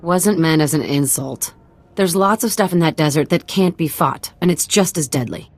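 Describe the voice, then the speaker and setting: A woman's voice: deep voice, moderate pace. A woman playing a tough, frank frontier underworld figure in a video game, speaking in a casual, plain-spoken way. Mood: frank, grateful.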